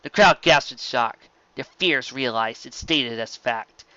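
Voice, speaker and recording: A man's voice: mid-range voice, measured pace, sharp tone, no echo, dull audio. A man reading scripted fiction aloud as a dramatic audiobook narrator.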